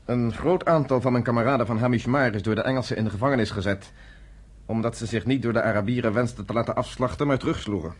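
Man playing a husky, dutiful army lieutenant. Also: mid-range voice, fast, close to an everyday voice. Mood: quietly defiant. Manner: formal, terse, soldierly. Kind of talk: Dutch radio drama acting.

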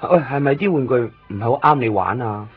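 A man acting an eager, chatty toy shop owner in a radio drama, rambling excitedly in Hong Kong Cantonese. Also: medium pitch, brisk, muffled recording, natural voice. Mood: gentle, hospitable. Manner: excitable, rambling, fond of showing off toys. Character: eager, chatty male second-hand toy shop owner, kind to customers. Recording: band-limited 1990s radio recording with faint music.

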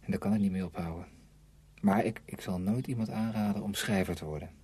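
An actor playing a brooding, self-tormented novelist in a radio play, speaking in an introspective, deliberate way. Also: male voice, lowish voice, dark tone, breathy edge. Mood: anguished, confessional. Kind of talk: Dutch radio play acting.

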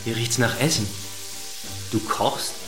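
An actor playing a laid-back, wary police officer with a terse, dry way of talking in a naturalistic radio drama. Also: male voice, medium pitch, takes it slowly, natural voice. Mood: wary, casual. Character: laid-back, wary male cop visiting a friend. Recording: roomy, with music behind. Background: music.

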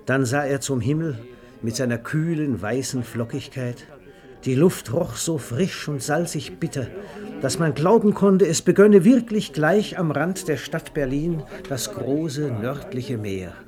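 A man's voice: on the high side, expressive, a little slow, a little mellow. A man narrating a literary radio play in a scripted storytelling style.

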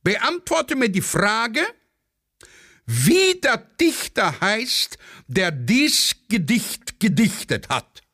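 A man acts out a pompous Viennese schoolteacher in a satirical radio play. The voice is raised high and moves slowly, swinging widely as he scolds and lectures. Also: huge pitch swings, bright, forceful tone, heavily acted. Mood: stern.

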